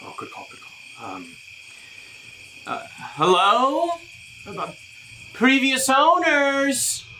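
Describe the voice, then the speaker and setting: A man's voice: very high-pitched, wildly swooping pitch, slow pace, long pauses, bright, forceful tone, exaggerated voice. Actor as a nervy man over noisy ambience. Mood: nervous, defensive. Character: nervy, impulsive man snooping through a dark house. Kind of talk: naturalistic audio drama acting.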